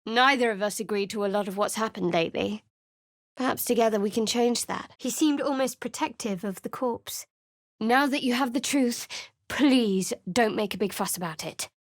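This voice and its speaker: An actress playing a guarded, sardonic young woman adventurer in a fantasy video game, speaking in a measured, dry, clipped way. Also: a little high, dry recording, natural voice.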